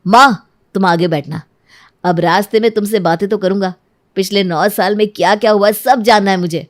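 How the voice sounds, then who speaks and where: A woman's voice: mid-range voice, normal speed, lightly acted. A woman voicing an affectionate, devoted son and father in a cartoon story, explaining things tenderly. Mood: eager. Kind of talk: Hindi cartoon story acting.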